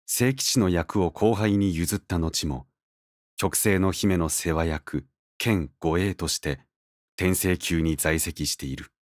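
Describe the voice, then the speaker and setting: Man acting a deep, slow, solemn storyteller. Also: no echo, studio quality. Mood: solemn, awed. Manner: measured, grave, literary. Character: solemn male narrator, awed by a legendary hero. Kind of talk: scripted dramatic narration in an audio drama.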